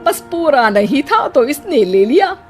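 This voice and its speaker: Woman as fussy middle-aged mother-in-law, chatty, exclamatory, high voice, cartoon story, light music behind. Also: very animated, a little slow, darkish tone. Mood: admiring. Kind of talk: animated moral-story cartoon dialogue.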